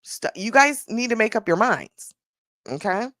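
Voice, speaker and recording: A woman's voice: a little high, sing-song, a little slow, a bit bright, dry recording, studio quality. A woman hosting a casual commentary podcast, talking in a sassy, blunt way, stretching out her rhetorical questions. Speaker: podcast host.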